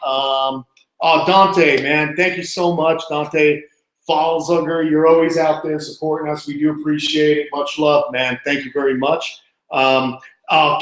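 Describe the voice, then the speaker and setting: An American man from New York hosting an animal live stream, chatting informally and answering questions in a casual way. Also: fairly high pitch. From Long Island, New York, United States. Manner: informal, chatty, calls people man and bud. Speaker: animal channel host. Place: indoor room. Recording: very echoey, reverberant room.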